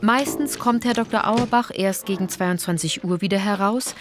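A woman's voice: medium pitch, normal speed, close to an everyday voice. A woman playing an earnest, opinionated guide narrating a radio play, conversational and insistent, speaking directly to the listener. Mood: confiding. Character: earnest female guide narrating postwar history, opinionated and exasperated. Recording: clear background noise.

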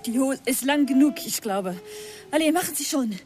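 High, urgent French-accented woman. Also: lively intonation, moderate pace, a little mellow, soft background music. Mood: commanding, furious. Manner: urgent, commanding, broken German syntax. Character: agitated, resolute French-speaking woman confronting a villain. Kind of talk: radio drama acting.

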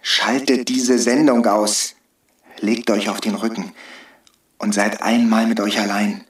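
Male actor, radio-play rebel agitator: low-leaning voice, deliberate pace, blunt commands, defiant. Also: flat delivery, natural voice. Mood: urgent, commanding. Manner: commanding, blunt, urgent short imperatives. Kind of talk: satirical radio play acting. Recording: roomy echo, distant from mic.

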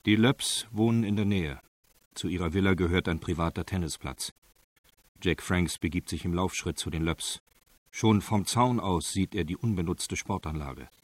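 A man reading scripted narration for a radio drama in a factual, measured way. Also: low-pitched.

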